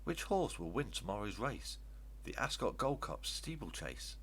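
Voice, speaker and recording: A man reading a storybook voices an excitable, penniless senior dwarf, pleading and exclamatory. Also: fairly low pitch, unhurried, full character voice. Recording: steady background noise with hum.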